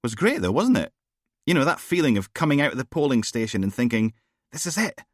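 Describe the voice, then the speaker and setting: A man voicing a naive, eager young police sergeant who chatters brightly. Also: mid-range voice, lively intonation, normal speed, no echo, clean studio sound, voice pushed up, lightly acted. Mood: excited.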